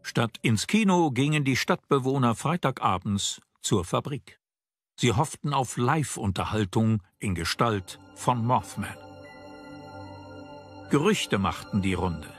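A man's voice: mid-range voice, animated, measured pace, husky, soft background music. A man reading scripted narration as the narrator of a documentary.

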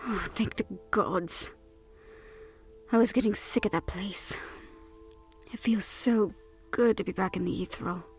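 A woman's voice: mid-range voice, unhurried, long pauses, husky, soft background music. A woman playing a proud, frustrated villainous fae in an audio roleplay drama, speaking in a dramatic, exasperated way. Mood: relieved. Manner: exasperated, dramatic, sharp-tongued.

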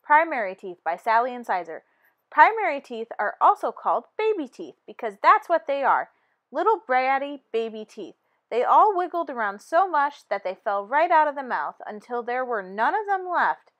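A woman's voice: high voice, very animated, moderate pace, highs cut off. A woman voicing a smug, bratty young girl tooth who recites a school report in a storybook. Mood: smug, reciting.

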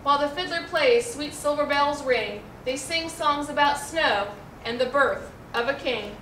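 A middle-aged American woman reads a rhyming children's picture book aloud in an expressive, carefully enunciated way. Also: high voice, a little slow. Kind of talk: children's storybook read-aloud. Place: indoor room, seated before a backdrop. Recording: roomy, distant mic, faint noise.

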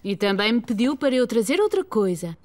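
A woman's voice: a little high, very animated, a little fast, music in the background. A woman playing a kindly, patient young mother in a children's cartoon, with a European Portuguese accent. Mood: friendly.

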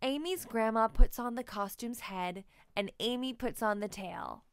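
Woman, higher-pitched, smooth clear voice, expressive children's storyteller, deliberate emphatic phrasing. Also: no echo. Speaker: children's book narrator.

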